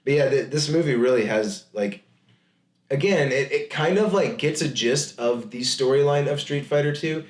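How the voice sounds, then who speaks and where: A man's voice: mid-range voice, moderate pace. A man co-hosting a comedic podcast, chatting casually about films with emphatic incredulity. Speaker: podcast co-host. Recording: echoey room.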